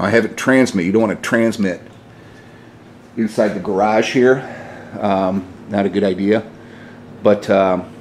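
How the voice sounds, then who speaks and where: Low-voiced man, casual DIY garage vlog. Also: darkish tone. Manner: informal, mildly salty, explaining step by step. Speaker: DIY video host. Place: inside a home garage, at a pickup truck. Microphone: handheld camera, held by the speaker. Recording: noisy handheld camera audio in garage.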